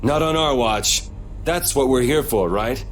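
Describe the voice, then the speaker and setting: A man's voice: mid-range voice, measured pace, a little hiss or hum, lightly acted. An actor playing a dryly defiant, impatient young male swordsman in an anime game. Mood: quiet resolve. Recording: loud game music over the voice.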